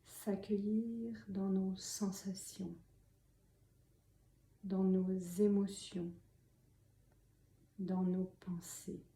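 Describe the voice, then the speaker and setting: A woman leads a guided meditation in a very mellow, soft and breathy voice, often close to whispering. She speaks slowly and calmly, leaving long pauses between gentle instructions. The room adds noticeable echo. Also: mid-range voice. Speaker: meditation and yoga teacher. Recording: roomy echo, faint background noise.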